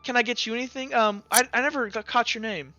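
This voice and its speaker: A man's voice: very high-pitched, fairly even intonation, fast, pushed and bright, no room sound, highs cut off, pitched above the performer's own voice, exaggerated voice. A man voicing a helpful female shopkeeper in a roleplaying game, with casual, businesslike sales talk.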